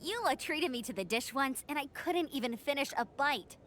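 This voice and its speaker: A cheerful young scout, upbeat and friendly. Her high voice is bright and keeps bouncing, with a faint hiss under it. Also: expressive, normal speed. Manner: upbeat, easygoing. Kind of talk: video game dialogue.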